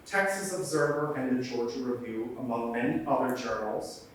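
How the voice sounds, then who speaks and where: A man's voice: mid-range voice, normal speed, a little hiss or hum. A man who directs programs, giving a chatty, joking introduction at a library event. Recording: very echoey room, from far off.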